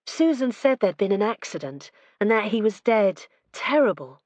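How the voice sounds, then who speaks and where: A woman voicing a soft-spoken, gentle retired wife in an audiobook. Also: medium pitch, big pitch swings, moderate pace, no echo, pitched above the performer's own voice, natural voice. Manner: gentle, a little prim.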